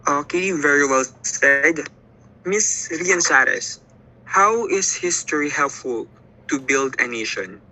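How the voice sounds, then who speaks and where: A man's voice: fairly high pitch, lively intonation, slow pace, bright, forceful tone, low-quality recording. A young man moderating a student panel, asking brief, formal questions.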